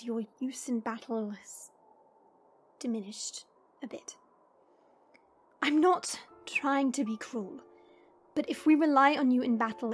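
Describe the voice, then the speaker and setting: A woman playing a stern, proud but caring fantasy warrior, speaking in a measured, formal and dry way. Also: high-pitched, husky, heavily acted. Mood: firm, earnest. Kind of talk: fantasy audio roleplay acting.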